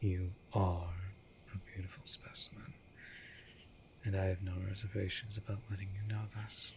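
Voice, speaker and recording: A man voicing a wounded, courtly vampire in an intimate fantasy audio drama, tender and protective, speaking formally and in a hushed way. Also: low-pitched, little pitch movement, normal speed, noisy background. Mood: tender, teasing. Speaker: voice actor in a romantic audio roleplay.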